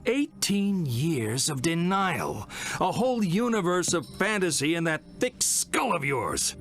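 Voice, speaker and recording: A man's voice: a little high, sing-song, a little slow, a bit bright, full character voice. An actor playing a provocative, impatient male psychiatrist in a video game, theatrical and pointed, asking rhetorical questions. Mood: exasperated, scornful. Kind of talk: dramatic video game acting. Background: music.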